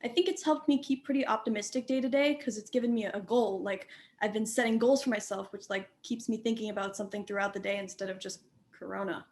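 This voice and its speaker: A woman's voice: mid-range voice, fast talker, darkish tone, lightly acted. A woman playing an optimistic, grateful young student in documentary theater, with casual youthful phrasing. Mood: upbeat, grateful.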